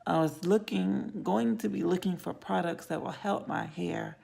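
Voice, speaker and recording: A woman vlogging in a casual ramble to camera, with a raspy, hoarse voice and frequent drops into whispers. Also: on the low side, steady tone, moderate pace, mellow. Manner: rambling, self-talk with many trailing whispers. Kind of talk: casual vlog talk to camera.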